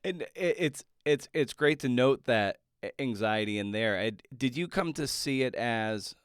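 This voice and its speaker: A man hosting an interview podcast, asking long conversational questions in a halting way, repeating words as he goes. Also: mid-range voice, animated, smooth, no room sound, studio quality. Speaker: podcast host interviewing an author.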